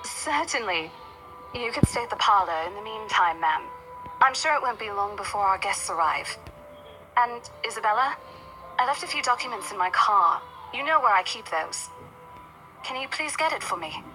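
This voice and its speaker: A woman voicing a brisk female senior estate agent who speaks politely. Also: mid-range voice, expressive, sharp tone, faint music behind, rough recording.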